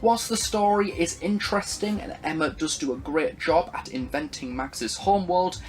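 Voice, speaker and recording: A young man with a husky voice, reading a scripted review of an audio drama in formal, measured phrasing. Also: on the high side, faint music behind, low-quality recording.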